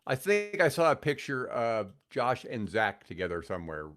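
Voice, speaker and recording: A man's voice: medium pitch, big pitch swings, moderate pace, fairly bright tone. An older man telling stories casually as a podcast guest, in a joking, rambling way. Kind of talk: casual chat, storytelling.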